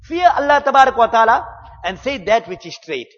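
A man delivers a measured, earnest religious talk in a high voice. His words ring out with a long hall echo. The sound is dull and muffled. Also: very animated, fairly bright tone. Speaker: Islamic preacher.

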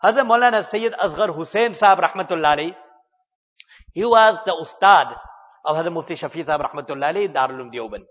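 A man giving an earnest, measured religious talk, mixing in Arabic and Urdu religious terms. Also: fairly high pitch, fairly bright tone, in a large hall, dull audio. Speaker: Islamic preacher.

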